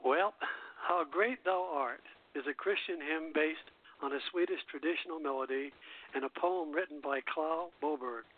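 A man hosting a radio show, reading scripted notes aloud in an informal way and sometimes stumbling over words. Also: fairly high pitch. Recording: tinny phone-like radio sound.

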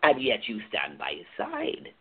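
A man's voice: medium pitch, wildly swooping pitch, measured pace, vocal fry, husky, exaggerated voice. A man playing a menacing, cold club president who gives sneering commands, on a tinny phone-like line. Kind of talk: amateur radio play acting.

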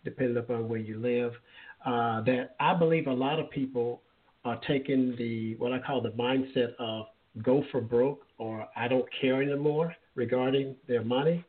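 A man hosting an interview, asking questions in a halting, affirming way and often repeating words. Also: mid-range voice, steady tone. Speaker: show host. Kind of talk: casual interview talk. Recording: tinny phone-like line.

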